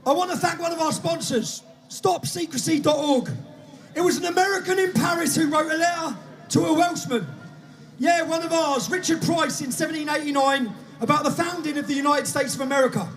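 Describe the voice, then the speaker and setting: A man emceeing an outdoor rally, shouting brisk announcements to the crowd. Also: very high-pitched. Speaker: rally compere.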